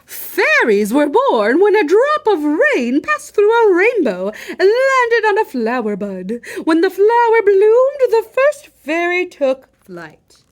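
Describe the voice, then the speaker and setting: A woman's voice: extremely high pitch, huge pitch swings, slow pace, few pauses. A woman hosting a children's storytime, reading a picture book expressively and chatting directly to her young listeners. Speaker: library storytime host and reader.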